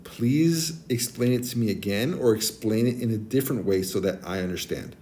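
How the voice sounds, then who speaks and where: A man in his forties with a naturally deep voice, chatting casually with stream viewers and giving friendly advice, with many ums and restarts. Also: moderate pace, warm tone, a little hiss or hum. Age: 40s. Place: abroad, streaming on a tablet. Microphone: tablet mic.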